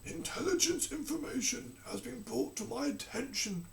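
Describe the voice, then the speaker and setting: A narrator voicing a cold, detached male king who speaks slowly and quietly. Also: medium pitch, animated, creaky, noisy background, voice pushed up. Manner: slow, hushed, detached. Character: cold, distant male king under a spell. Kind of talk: storybook character reading.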